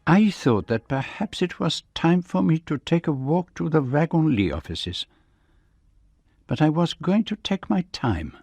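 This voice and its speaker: An actor playing a courteous, wry old Belgian detective with a French-speaking accent, speaking precisely and formally in a radio drama. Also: male voice, a little high, sing-song, a little slow, a little mellow, husky, dry recording, soft background music, full character voice. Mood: wry, reflective. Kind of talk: radio drama dialogue and narration.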